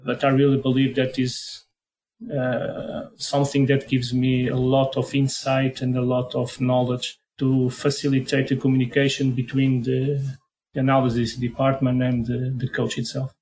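Portuguese-accented man, flat measured delivery. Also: mid-range voice, low-quality recording. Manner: hesitant, many fillers and repeated words. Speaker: head of football performance analysis. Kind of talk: podcast interview answers.

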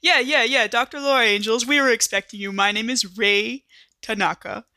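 A big, muscular male Marine, played by a woman in a tabletop game. He is easygoing, eager and friendly in an informal way, with a bright, dry-recorded voice. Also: on the high side, normal speed, no room sound. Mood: welcoming.

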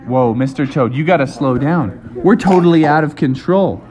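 An adult voicing a young male frog in an improvised bedtime story, talking in a casual, slangy, excitable way. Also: mid-range voice, normal speed, a crowd in the background, natural voice. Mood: alarmed. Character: young male frog, eager then alarmed.

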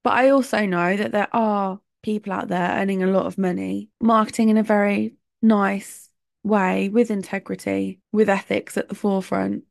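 A woman's voice: medium pitch, fairly even intonation, normal speed, vocal fry, no echo, clean studio sound. A woman hosting a solo podcast, talking in a chatty, opinionated way. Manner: chatty, opinionated, laughs between points. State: sore throat. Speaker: podcast host.

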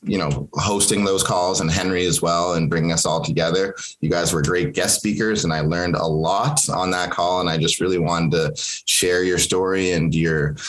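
A young man from Kenya whose first language is Swahili, hosting an interview in a relaxed, chatty way with frequent fillers and restarts. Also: low voice. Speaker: podcast host. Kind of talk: conversational interview.

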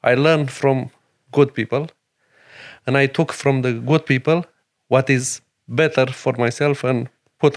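A middle-aged man from Romania with a Romanian accent, a chef and restaurant owner speaking earnest, halting English in a podcast interview. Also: mid-range voice. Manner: broken English, earnest and emphatic, many pauses.

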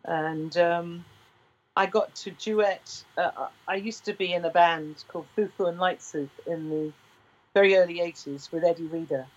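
Low-voiced woman in her sixties, rambling. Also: fairly even intonation, moderate pace, highs cut off. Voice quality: deep baritone singing voice. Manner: rambling, with frequent restarts. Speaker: singer and interview guest. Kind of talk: relaxed conversational storytelling.

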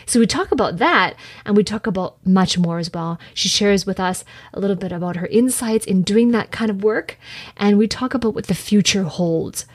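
A woman podcast host with a husky, breathy voice who talks enthusiastically and effusively. Her voice sounds dry and close to the mic, with no room echo. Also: mid-range voice, normal speed. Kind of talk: conversational interview.

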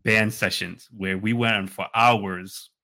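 Bright, forceful male podcast co-host. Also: fairly low pitch, steady tone, slow. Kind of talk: casual chat, storytelling.

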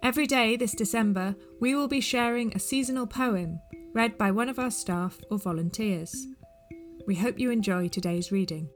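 A woman's voice: fairly high pitch, normal speed. A woman reading a scripted podcast introduction as its host. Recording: music behind the voice. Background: plucked guitar music.

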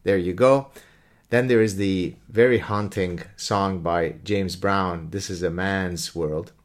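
A man's voice: low voice, steady tone, slow pace. A middle-aged man from Canada hosting his own channel, talking in a casual mocking monologue and now and then breaking into off-key snippets of song.

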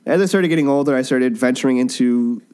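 A man's voice: mid-range voice, fast, darkish tone, clear, resonant tone. A man hosting a casual, joking chat about comic books, often breaking off and restarting his sentences, recorded in a basement. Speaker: podcast host.

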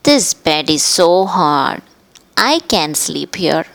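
A woman's voice: deep voice, big pitch swings, slow pace, gravelly, fairly bright tone, heavily acted. A woman voicing a curious, hungry little girl who talks in a sing-song, exclaiming way. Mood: complaining.

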